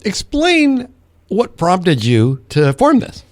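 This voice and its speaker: A male radio host with a slightly high voice and very animated intonation. He talks casually between segments and puts questions to guests, in a dry, close studio sound. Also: slow, dry recording. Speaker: radio morning show host. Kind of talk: casual radio talk and interviewing.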